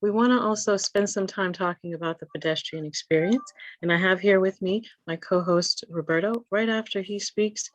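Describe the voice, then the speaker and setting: A woman's voice: mid-range voice, normal speed. A woman moderating an online community meeting as co-host, encouraging and welcoming to those taking part. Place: indoor room, online meeting. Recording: roomy, muffled video-call audio.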